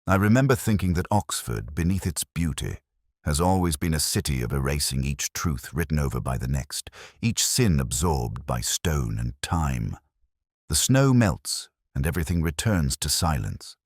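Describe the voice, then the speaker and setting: A man playing a weary, ageing English detective, narrating a literary drama in a measured, solemn, reflective way. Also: rumbling low, sing-song, no room sound, clean studio sound, heavily acted. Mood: somber, reflective. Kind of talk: first-person literary audio drama narration.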